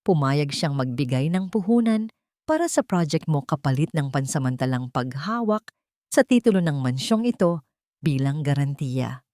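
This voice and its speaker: A woman voicing a calm, composed wronged wife who speaks in a measured, persuasive way in an audio story. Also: low voice, dry recording, studio quality.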